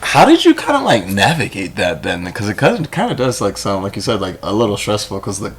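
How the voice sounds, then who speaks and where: Deep-voiced laid-back podcast host, a man. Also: wildly swooping pitch, brisk. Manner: laid-back, filler-heavy, chuckling. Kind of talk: casual interview chat.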